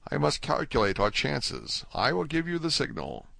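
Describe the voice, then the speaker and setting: A man reading an audiobook, voicing a cautious older male prisoner who speaks in a hushed, deliberate way. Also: mid-range voice, sing-song, creaky, no echo, pitched above the performer's own voice, heavily acted. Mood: guarded.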